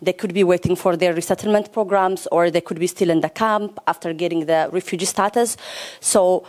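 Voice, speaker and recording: A woman from Ethiopia puts an earnest question to a panel from the audience. Her voice sits a little low, and she is polite and builds steadily toward her point. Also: steady tone, fairly quick, a little mellow. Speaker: audience member asking a question.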